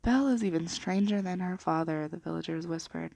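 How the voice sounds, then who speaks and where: A woman speaking in a gentle, unhurried, hushed and breathy ASMR style, soft-spoken and half whispered, as for bedtime stories. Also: on the low side, no echo. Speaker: ASMR video host and storybook reader.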